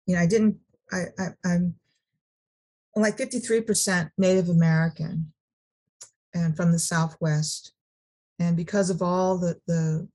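A middle-aged woman from California sharing her personal story at a recovery meeting, halting, with many restarts and repeated words. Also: lowish voice, mellow. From Sacramento, California.

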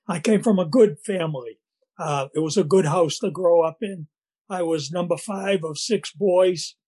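An older man with a Boston accent and a scratchy voice, telling his recovery story at a meeting in a halting way, with many fillers and restarts. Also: high-pitched, dark tone, husky. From Massachusetts, USA.

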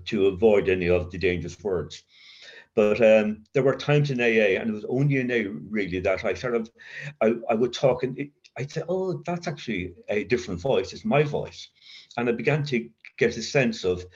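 Irish man, personal recovery share, halting stammer, frequent restarts, fairly low voice, muffled lo-fi sound. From Ireland. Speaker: speaker at a recovery meeting.

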